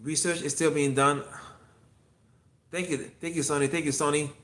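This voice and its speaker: A man's voice: on the high side, fairly even intonation, normal speed, a bit bright. A man in his fifties, an acupuncture physician, talking casually on a solo livestream from his clinic, rambling and repeating himself as he answers viewers. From Miami. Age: 50s.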